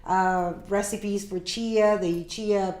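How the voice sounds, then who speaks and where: Older woman, mid-pitched voice, conversational explaining, frequent check-ins, echoey room. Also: fairly even intonation, takes it slowly, darkish tone. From Peru. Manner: explanatory, checks in with "right?" and "you know". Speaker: podcast guest, organic food business owner. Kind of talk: conversational interview.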